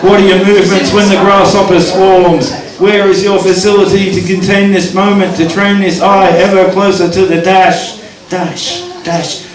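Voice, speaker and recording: A man's voice: sits high, level tone, normal speed, crowd noise. A man performing spoken-word poetry live with wry asides between poems, recorded from a distance in an echoey venue with an audience. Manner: wry asides, counts down his time aloud. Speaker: poet performing. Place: open-mic venue.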